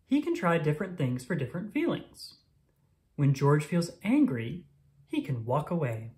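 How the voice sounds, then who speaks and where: A woman reading a children's picture book aloud in an expressive, deliberate storytelling manner for young listeners. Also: low voice. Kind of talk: scripted read-aloud narration of a picture book.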